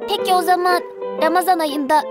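A woman's voice: very high voice, steady tone, a little fast, loud music behind the voice. A woman voicing an eager, naive five-year-old girl in a cartoon dub, with a childlike delivery. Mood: puzzled.

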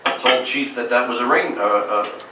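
A man's voice: mid-range voice, moderate pace, bright, forceful tone, a little hiss or hum. A man serving as mayor, giving a report at a town council meeting in an upbeat, event-listing way with frequent fillers and a New Jersey accent. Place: large council chamber, indoors. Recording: echoey council chamber from far off.

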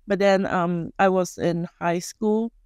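Female podcast guest, forties, Malaysian Chinese accent, native Chinese speaker. Conversational, halting delivery, close and dry studio mic. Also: lowish voice, fairly even intonation, darkish tone, smooth, no echo. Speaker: guest chef and culinary instructor. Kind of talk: casual podcast interview. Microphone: close studio microphone on boom arm.

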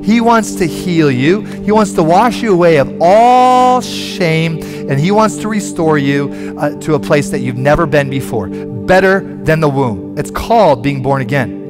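A man in his forties, a pastor preaching a sermon from a church stage on a headset mic, animated and conversational, with frequent restarts. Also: fairly high pitch, unhurried, few pauses, over music. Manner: animated, moving about the stage and gesturing.

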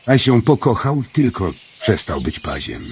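A man playing a tired master storyteller in a storybook radio play, speaking in a measured fairytale manner. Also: mid-range voice, sing-song, darkish tone, slight background noise. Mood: storytelling. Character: tired male hour-spirit storyteller, master of a castle chamber.

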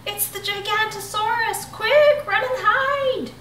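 A woman voicing a boastful young male dinosaur who pranks his friends, with shrill excited shouting. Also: slow pace, slight background noise, full character voice. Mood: alarmed shouting. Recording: echoey room, mic at a distance.

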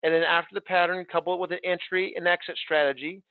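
High-voiced man on a tinny call line. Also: level tone, clean tone, dry recording. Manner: brisk, promotional. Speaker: webinar presenter. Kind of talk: closing remarks of a presentation. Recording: dry, phone-like audio.

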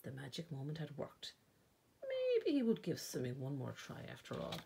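An Irish woman reads a picture book for children. Her voice is very husky and breathy, set slightly low, and swings widely in pitch. She uses dramatic pauses and emphasis. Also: huge pitch swings, warm tone. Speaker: librarian reading a children's picture book aloud. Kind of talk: storytime read-aloud narration.

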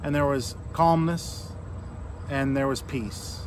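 An American man in his forties preaching an informal sermon as a pastor, earnest and conversational, with pauses and rhetorical questions. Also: medium pitch. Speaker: Lutheran pastor. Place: outdoors beside a fallen tree near a street. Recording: outdoor noise, insects and vehicles.

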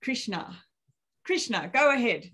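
A woman's voice: on the high side, big pitch swings, a little slow, a bit bright, breathy edge. A woman in her thirties with an Australian accent, hosting a webinar question session in a casual, rambling way. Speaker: webinar host. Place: room at home. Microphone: video call webcam.

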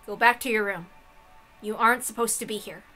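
A woman voicing a stern, gloomy young man who speaks softly but firmly as a dignified game master in a visual novel. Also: mid-range voice, a little fast, soft background music, close to an everyday voice. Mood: cold, stern. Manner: soft but forceful, solemn, curt.

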